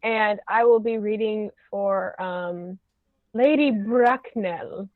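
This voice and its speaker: Slow-talking woman on dull call audio. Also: mid-range voice. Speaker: table read participant. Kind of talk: casual group banter.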